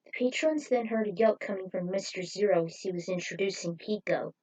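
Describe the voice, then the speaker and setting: A woman's voice: medium pitch, brisk, darkish tone, poor audio. A woman narrating a scripted story, reading it aloud as a storyteller.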